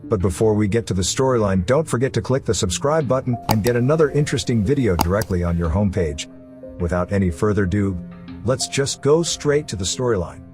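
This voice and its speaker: A man's voice: fairly low pitch, expressive, brisk, music in the background. A man reading scripted narration for a story recap video, as its narrator.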